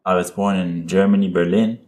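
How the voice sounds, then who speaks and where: A man's voice: sits low, fairly even intonation, fairly quick, darkish tone. A man in his thirties, from Lebanon and raised in Abu Dhabi, hosting a podcast and answering interview questions in a loose, hesitant way, full of fillers. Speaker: podcast host being interviewed.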